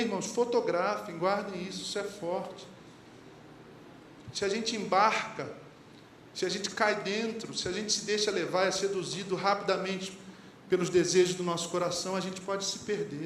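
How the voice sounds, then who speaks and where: Brazilian pastor preaching in an echoey church. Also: male voice, high-pitched, fairly bright tone, slight background noise. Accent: Brazilian Portuguese. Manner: conversational preaching, quotes lyrics and sayings, asks the congregation questions. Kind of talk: sermon. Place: church hall. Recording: echoey church hall, faint ambience.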